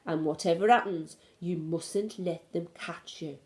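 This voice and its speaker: A woman's voice: lowish voice, a little slow, darkish tone, close to an everyday voice. A woman voicing a shrewd, affectionate old grandmother in a storybook, with an emphatic, doting manner. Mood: fond, firm.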